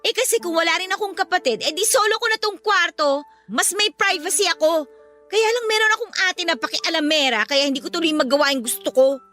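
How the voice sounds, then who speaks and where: An actress playing a bratty, defiant eighteen-year-old younger sister in a Filipino radio drama, with a sarcastic, whiny way of arguing. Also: female voice, extremely high pitch, fairly quick, sharp tone, faint music behind. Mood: resentful.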